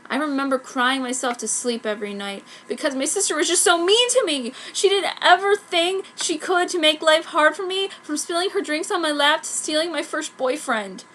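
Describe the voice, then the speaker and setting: A woman's voice: high voice, moderate pace, few pauses, fairly bright tone, a little hiss or hum, voice pushed up. A woman voicing a self-pitying, dramatic goth schoolgirl telling her own story in a fanfic reading. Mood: wounded, dramatic. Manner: melodramatic. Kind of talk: mock-earnest first-person fanfic narration.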